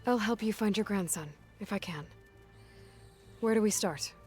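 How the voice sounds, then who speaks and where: A woman's voice: mid-range voice, normal speed, a little mellow, breathy edge, natural voice. A woman playing a compassionate, determined young hunter heroine in a game cutscene, earnest and direct. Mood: sympathetic, earnest. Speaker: game character actor. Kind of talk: naturalistic game cutscene acting. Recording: music behind the voice.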